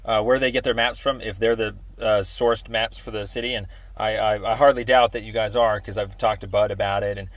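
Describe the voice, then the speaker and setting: Low-voiced, halting American man. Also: fairly even intonation, no room sound. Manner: hesitant, rambling, halting delivery thick with ums. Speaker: member of the public calling a city department. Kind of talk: casual phone conversation.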